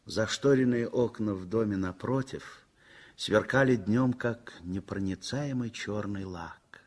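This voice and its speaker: A man narrating a 1960s radio play in a measured, expressive storytelling manner. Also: on the low side. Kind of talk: scripted literary narration, 1960s radio theatre.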